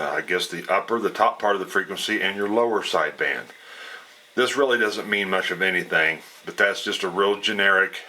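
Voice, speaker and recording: A man giving a casual, unscripted explanation as a radio hobbyist, in a plainspoken, folksy way with halting phrasing. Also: low-pitched, bright, forceful tone.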